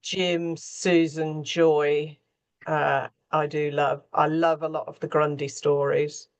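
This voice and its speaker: A woman's voice: sits low, flat delivery, slow pace. A woman talking conversationally and anecdotally as a scriptwriter on a panel. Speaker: radio drama scriptwriter, panel guest.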